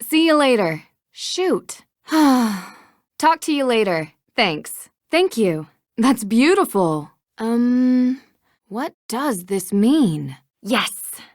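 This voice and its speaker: A woman's voice: fairly high pitch, big pitch swings, drawn-out words, no echo, clean studio sound, exaggerated voice. A woman playing a cheerful young female detective in a video game, eager and inquisitive. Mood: reacting, musing.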